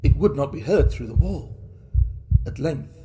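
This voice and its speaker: A man playing a mad, guilt-racked murderer, telling his horror story in a theatrical, intense and deliberate manner. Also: a little high, lively intonation, mellow. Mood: cold, smug. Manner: theatrical, deliberate, intense, broken into short phrases. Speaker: reader of a classic horror story. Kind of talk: dramatic first-person storytelling.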